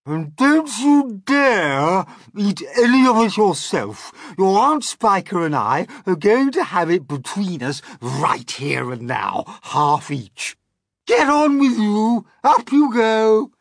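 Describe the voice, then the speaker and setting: Brief: bossy, greedy aunt for children's story, scornful bluster, hugely varied pitch, husky edge played by a man. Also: big pitch swings, slow pace, no echo, studio quality, exaggerated voice. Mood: threatening. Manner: blustering, exclamatory. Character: greedy, scoffing, bossy middle-aged aunt, a woman. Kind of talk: dramatic children's storybook character reading.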